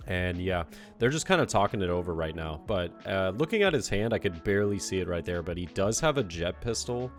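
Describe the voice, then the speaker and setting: Congested man with a very low voice. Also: fairly quick, faint music behind. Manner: relaxed, filler-heavy, self-critical play-by-play. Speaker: card game player and commentator. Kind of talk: casual commentary over a recorded match.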